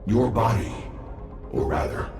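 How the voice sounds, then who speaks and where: A proud, self-assured master, deep and echoey. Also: male voice, a little slow, vocal fry, soft background music. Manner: self-assured, grand and calm. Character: proud brilliant young man, a legendary master. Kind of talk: video game voice acting.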